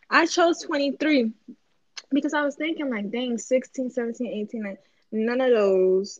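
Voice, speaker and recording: Higher-pitched woman in her twenties, playful podcast co-host, dull and dry sound. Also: a little mellow, no echo. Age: 20s. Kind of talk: casual chat.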